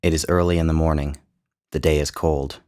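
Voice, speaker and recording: Extremely deep-voiced man narrating a story. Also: level tone, brisk, darkish tone. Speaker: author and narrator. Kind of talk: literary short story narration.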